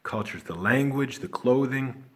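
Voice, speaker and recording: A man's voice: medium pitch, unhurried. A man from Chicago with an American accent, talking loosely in a casual monologue as an online teacher, often asking "you know what I'm saying?". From Chicago, USA.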